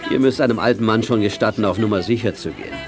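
A man's voice: fairly low pitch, fast talker, over music, lightly acted. An actor playing a kindly, careful old man who helps young detectives in a children's radio play. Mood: organizing, firm. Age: older. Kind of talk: 1980s German children's radio play acting.

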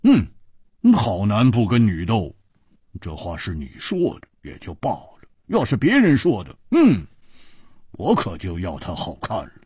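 A man's voice: on the low side, huge pitch swings, a little slow, a little mellow, slightly rough, no room sound, studio quality, voice pushed down, heavily acted. A man voicing a cocky, hot-tempered swordsman in an audiobook, drawling and teasing.

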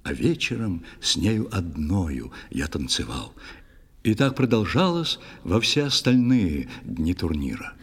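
Animated, husky young knight storyteller. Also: male voice, sits low, a little slow, big-room sound, heavily acted. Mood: animated recollection. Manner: dramatic, expressive declamation. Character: young knight, proud and ardent, telling his adventures. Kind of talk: theatrical verse storytelling in children's audio play. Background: faint music.

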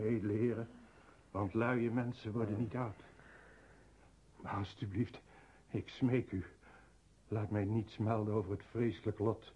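Husky, frightened ancient peasant man. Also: fairly low pitch, mellow. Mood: deferential. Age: ninety in the role. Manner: deferential, halting, pleading. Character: fearful, pleading ninety-year-old peasant woodcutter. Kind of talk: radio drama acting. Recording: muffled, dull radio drama recording.

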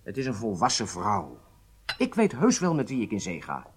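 A man's voice: lowish voice, wildly swooping pitch, normal speed, breathy edge, full character voice. An actor playing a charming, self-assured agent in his late thirties, speaking with casual nonchalance in a radio drama. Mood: defensive. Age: 30s.

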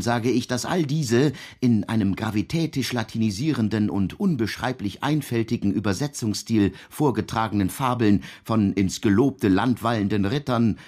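A man acting as an erudite, wordy teller of medieval legends in a radio drama, with a husky voice and pedantic, ornate delivery. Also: mid-range voice, a little mellow, close to an everyday voice. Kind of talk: literary radio drama narration.

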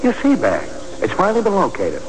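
An American actor playing a brisk, chatty, friendly military sergeant in 1940s radio drama. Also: male voice, high voice, wildly swooping pitch, low-quality recording. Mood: brisk, breezy. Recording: old radio recording, murmuring background.

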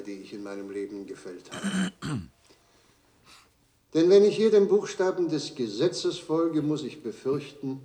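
Troubled judge: formal, solemn, strongly modulated delivery, echoing courtroom, radio drama. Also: male voice, medium pitch, a little mellow. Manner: formal, grave, deliberate phrasing with emphatic swells. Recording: echoey courtroom broadcast sound.

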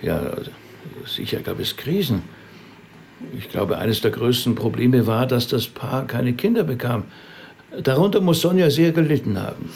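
An actor playing a polite, hesitant rabbi in a naturalistic radio drama, halting and deferential. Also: male voice, medium pitch, expressive, creaky, darkish tone, a little hiss or hum. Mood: uneasy, hesitant. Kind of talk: naturalistic German-language radio drama acting.

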